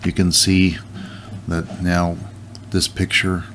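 A man's voice: sits low, monotone, slow, a bit bright, a little hiss or hum. A middle-aged man hosting his channel, casually explaining images on screen and thinking aloud with small restarts. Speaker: channel host.

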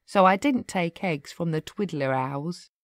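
A woman narrating rhyming children's verse in an expressive, playful, deliberate storytelling manner. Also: lowish voice, no echo, studio quality.

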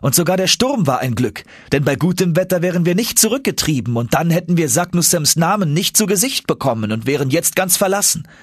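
A man voicing an eager, hot-headed young nephew in an audiobook, excited and exclamatory. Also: a little high, a little fast, few pauses, breathy edge, no room sound, studio quality, voice pushed up. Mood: upbeat.

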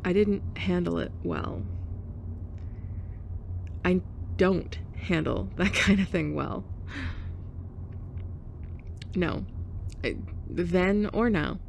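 A young woman in a scripted roleplay monologue, abrasive and sarcastic, with awkward soft laughs and sighs. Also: fairly low pitch, slow pace, gravelly, slight background noise. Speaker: ASMR roleplay performer.

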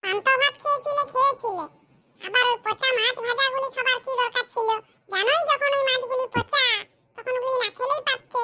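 A woman voicing a shrill scolding mother-in-law. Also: brisk. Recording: loud background noise.

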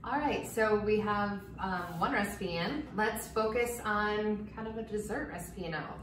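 A woman's voice: medium pitch, measured pace. A woman in her thirties demonstrating a recipe in a home kitchen, chatting casually as she cooks. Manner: relaxed, chatty, explains while cooking. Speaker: cooking demonstrator. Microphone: far camera mic. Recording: echoey kitchen, distant mic, noisy.

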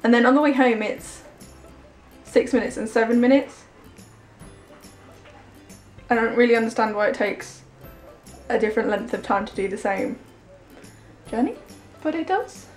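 Female vlogger, twenties, English accent, high-pitched casual chat, handheld camera, music in places. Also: moderate pace, pauses often. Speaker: vlogger and dog handler. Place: kitchen, train and station platform. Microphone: handheld camera at arm's length.

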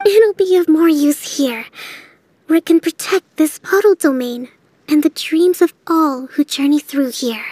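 A woman playing a composed, earnest young priestess leader, soft-spoken, in acted video game dialogue. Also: high-pitched, unhurried, dry recording. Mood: calm, hopeful.

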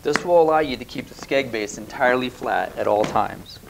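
A man in his thirties giving calm step-by-step product instructions on a lapel mic, recorded in a bare indoor room. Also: mid-range voice, moderate pace, gravelly, background noise. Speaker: product demonstrator. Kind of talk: scripted instructional voice-over.

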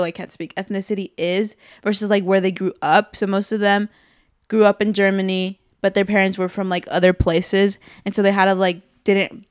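A young woman co-hosting a podcast from a hotel room, rambling with lots of "like" and trailing off softly, sitting back from a shared mic. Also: mid-range voice, clean tone. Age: young adult. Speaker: podcast co-host. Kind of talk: casual chat. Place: hotel room, seated on bed.

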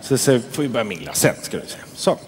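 A man playing a fussy, uptight event host in a comedy sketch who orders guests around and bursts into shouting. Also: mid-range voice, very animated, close to an everyday voice. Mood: prim. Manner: prim and bossy, bursting into shouting. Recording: echoey hall with crowd and noise.

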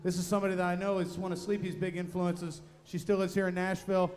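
A middle-aged man introduces a guest loudly and earnestly from a club stage. His voice is pitched high for a man, and he talks into a stand mic. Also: little pitch movement, quick pace. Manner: loud, earnest announcer manner. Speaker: bass player. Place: nightclub stage. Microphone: vocal mic on a stand.

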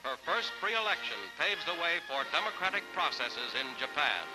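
Higher-pitched 1940s newsreel announcer, man. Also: lively intonation, normal speed, loud music behind the voice, poor audio. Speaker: newsreel narrator. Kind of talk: 1940s newsreel scripted narration. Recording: vintage 1940s newsreel soundtrack.

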